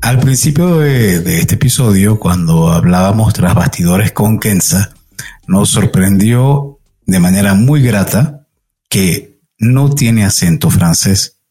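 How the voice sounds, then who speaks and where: A man co-hosting a podcast. His voice sits low, and he tells each guest's story in a measured, even way. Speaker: podcast co-host. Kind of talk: podcast intro and interview.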